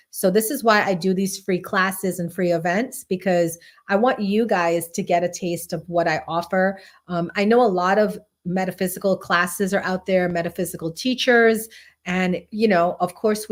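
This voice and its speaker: Female tarot teacher, 40s, from Barbados and Guyana; lowish, chatty live-stream delivery. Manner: chatty, greets viewers by name.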